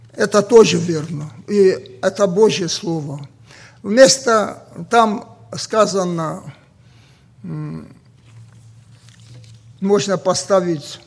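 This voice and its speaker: Male preacher, Ossetian accent, high voice, unhurried, pausing often. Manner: slow and deliberate with many pauses and fillers. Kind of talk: sermon.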